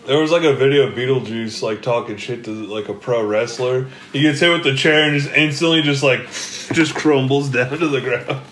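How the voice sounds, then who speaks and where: A man with a mid-range voice talks bluntly and swears freely in a casual podcast chat. A steady background noise runs beneath his voice. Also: mid-range voice, normal speed. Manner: blunt, profane. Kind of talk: casual chat among friends.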